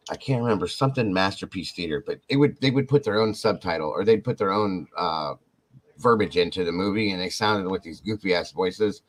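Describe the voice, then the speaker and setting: Male podcast host, deep, loose and animated delivery. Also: a little fast, rough recording. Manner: rambling, animated, talks to chat viewers. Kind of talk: casual podcast chat.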